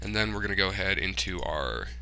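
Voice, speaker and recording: Casual male tutorial host, low-pitched with a very crisp, forward edge, informal and muttering through each step. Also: expressive, fast talker, pushed and bright. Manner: informal, muttering to himself while working. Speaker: tutorial host, hobbyist game developer. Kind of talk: casual screen-recorded software tutorial, thinking aloud.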